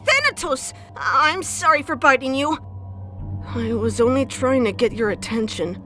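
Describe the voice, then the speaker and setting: Actress as timid, earnest young woman in audio drama: higher-pitched, slow, halting delivery. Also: animated, a bit bright, faint music behind. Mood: flustered. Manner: hesitant, timid stammering. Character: shy, earnest young undead woman, easily flustered. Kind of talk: audio drama character acting.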